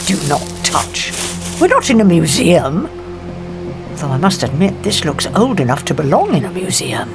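An actress playing a headstrong, curious professor in a science fiction audio drama, haughty and wry in manner. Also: female voice, fairly low pitch, very animated, normal speed, loud music behind the voice. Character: headstrong, curious woman professor exploring an alien planet.

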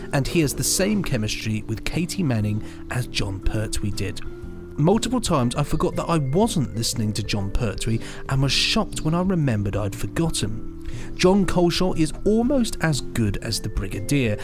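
A man co-hosting a podcast, chatting and reading aloud in a presenter's style. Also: medium pitch. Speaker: podcast co-host. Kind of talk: podcast chat and reading listener mail aloud. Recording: music bed behind. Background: clear music.

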